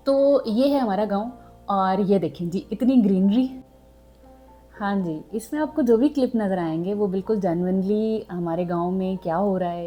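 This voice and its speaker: A woman's voice: medium pitch, mellow. A young woman from southern Punjab, chatting casually to her subscribers and mixing in English words. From Pakistan, southern Punjab. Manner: chatty, mixes in English words, frequent fillers. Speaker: vlogger.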